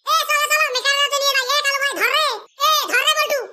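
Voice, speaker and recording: A tiny, very high cartoon voice chatters quickly in a rural northern Bengali dialect. The excitable village fellow bickers and repeats himself in a squeaky, chipmunk-like tone. The home-made track is clearly noisy. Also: male voice. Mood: excited, argumentative. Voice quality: squeaky, pitch-shifted. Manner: exclaiming, bickering, repeating phrases. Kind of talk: comedy cartoon dub.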